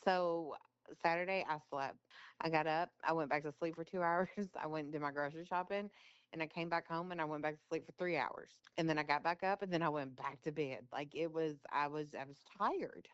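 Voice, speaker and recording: A middle-aged woman co-hosting a podcast. She has a low, smooth voice and complains in a tired, grumbling way, swearing casually. The recording is dry and slightly dull. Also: brisk, dry recording. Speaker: podcast co-host. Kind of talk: casual chat.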